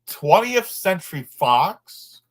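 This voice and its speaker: Man reading aloud with lively, swinging pitch. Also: takes it slowly. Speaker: co-host reading a book aloud. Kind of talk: informal read-aloud of a nonfiction book with asides.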